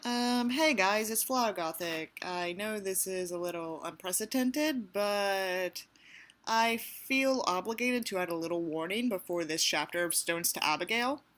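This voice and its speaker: A woman's voice: medium pitch, a little slow, a bit bright. A woman reading a book aloud as its narrator and commentator, theatrical and sardonic, with a sarcastic, sweary streak. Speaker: audiobook reader and commentator.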